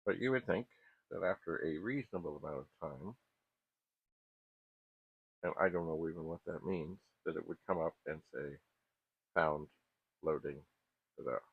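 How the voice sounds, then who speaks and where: A middle-aged man giving casual, unscripted tech commentary as he works, thinking aloud in a halting, self-questioning way. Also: very deep voice, vocal fry, slightly rough, no room sound. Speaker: host of a vintage computer repair channel. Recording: muffled, phone-like narrow band.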